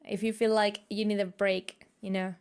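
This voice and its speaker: A woman's voice: mid-range voice, little pitch movement, moderate pace, a little mellow, clear, resonant tone. A woman from Spain with a Spanish accent, chatting playfully with viewers during a game stream from her room, laughing often. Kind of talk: casual gaming stream chat.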